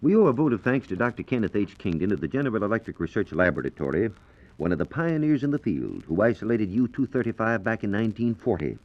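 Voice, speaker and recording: A man's voice: lowish voice, big pitch swings, quick pace. A man with an American accent giving a formal, explanatory scripted science lecture as a 1940s radio reporter. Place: radio studio. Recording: dull, lo-fi 1940s radio recording.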